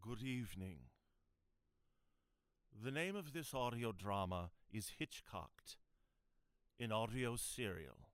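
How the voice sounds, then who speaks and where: Male suspense narrator: formal, very slow, clean resonant tone, measured radio-drama storytelling. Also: lowish voice, fairly bright tone, clear, resonant tone, dry recording. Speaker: narrator of an audio drama serial. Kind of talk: scripted suspense-anthology host narration.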